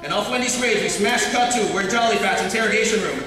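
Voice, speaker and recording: A man's voice: sits high, fast. A man reading stage directions as scripted narration at a live table read. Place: large convention panel hall. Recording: echoey hall, distant mic, noisy.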